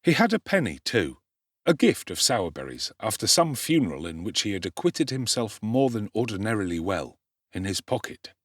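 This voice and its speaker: A man giving a dramatic, expressive audiobook narration of a classic novel, telling the story in a measured way. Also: lowish voice, no room sound, clean studio sound.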